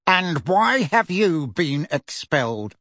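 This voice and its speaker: A man voicing a blustering, bullying middle-aged uncle in an audiobook, loud and indignant. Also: fairly high pitch, very animated, slow pace, husky, dry recording, studio quality, pitched above the performer's own voice, full character voice. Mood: accusing, roaring.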